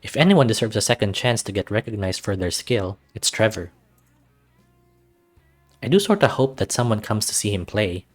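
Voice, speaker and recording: A man hosting a let's-read of a visual novel, reading aloud and chatting casually in a soft-hearted, gushing way. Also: lowish voice, lively intonation, normal speed, pauses often, faint music behind.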